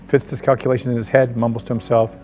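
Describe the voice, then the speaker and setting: A man's voice: medium pitch, flat delivery, fast, a little mellow. A man reading screenplay stage directions aloud as scripted narration at a table read. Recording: music behind the voice.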